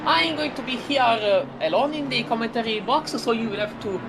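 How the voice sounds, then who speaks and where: A woman's voice: a little high, lively intonation, fairly quick. Woman, Italian accent, sim racing commentator, casual play-by-play, lots of fillers, heavy engine noise behind. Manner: chatty, many fillers and self-corrections. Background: loud game car engines.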